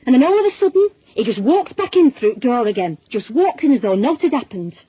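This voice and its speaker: A middle-aged Northern English mother in a radio drama, worn and plain-spoken. Her voice is soft-edged and husky, and she confides in everyday working-class speech. The recording is slightly dull. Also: on the high side, big pitch swings, a little fast, no echo. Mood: troubled, confiding. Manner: colloquial, world-weary, confiding. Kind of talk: naturalistic radio drama, monologue and dialogue.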